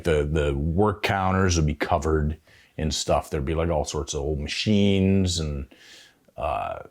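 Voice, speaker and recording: A Canadian man in his fifties telling stories casually as an interview guest, in a halting, thoughtful way. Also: a bass voice. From Ontario, Canada. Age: 50s. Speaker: veteran tattoo artist, interview guest. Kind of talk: casual reminiscing, storytelling.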